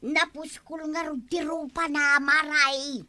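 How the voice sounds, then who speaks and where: A shrill, fed-up village wife acted by a woman in a comedy drama. Her very high, piercing voice drags out words slowly, with an Ilocano accent and a dry sound. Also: level tone, sharp tone, no room sound, exaggerated voice. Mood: weary, ashamed. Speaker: actress in comedy skit.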